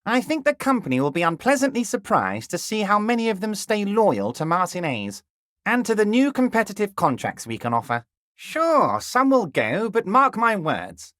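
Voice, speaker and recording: An actor playing a scheming, jovial middle-aged male union boss in a video game, with a smooth, folksy, ingratiating delivery that drops into a deep, confiding murmur. The voice is acted for the game. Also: fairly bright tone, no echo, studio quality. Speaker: union leader.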